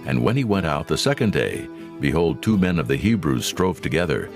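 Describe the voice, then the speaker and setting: A man's voice: a bass voice, sing-song, brisk, over music. A man narrating scripture for a dramatized audio Bible in solemn storytelling style.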